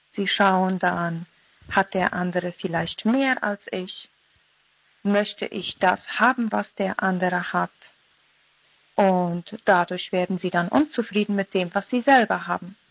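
A woman's voice: medium pitch, no room sound, poor audio. A woman in her thirties giving a short personal devotional talk as a guest contributor. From Philadelphia (Mennonite colony).

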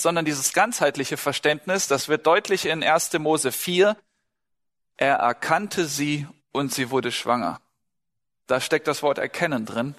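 A male preacher with a slightly high voice speaks to a congregation in a large, echoing hall. His sermon is conversational and turns often to questions aimed straight at the listeners. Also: fairly bright tone. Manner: conversational, asks listeners questions, acts out short dialogues. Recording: large hall with long reverb.

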